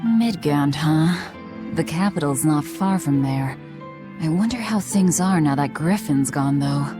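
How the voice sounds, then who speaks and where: A woman's voice: sits low, expressive, unhurried, over music. An actress playing a tough young woman fighter, dry, terse and mission-minded, in a video game. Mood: businesslike.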